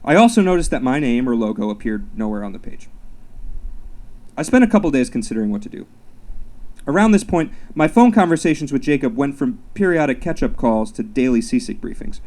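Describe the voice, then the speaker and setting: A man gives a live literary reading of his own comic essay. His mid-pitched voice rises and falls in an animated way. He phrases deliberately and leans on words with mock-serious weight. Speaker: author reading own work.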